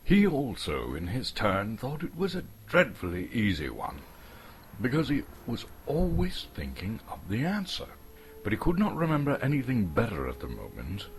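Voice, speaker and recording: A man from Canada narrating a story as a theatrical, expressive dramatic reading. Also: medium pitch, moderate pace, a little hiss or hum.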